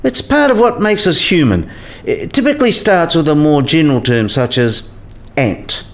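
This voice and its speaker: Man acting a measured, learned professor. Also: on the high side, sing-song. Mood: measured, instructive. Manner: measured, didactic, precise. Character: learned male professor patiently explaining science history. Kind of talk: scripted explanatory dialogue in a radio play.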